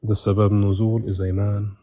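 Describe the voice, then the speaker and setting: A man's voice: lowish voice, level tone, moderate pace, warm tone, no echo. A man speaking through a voice changer in a live religious debate, terse and insistent, with a muffled voice. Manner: terse, insistent, repeatedly pushing to move on. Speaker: debate participant.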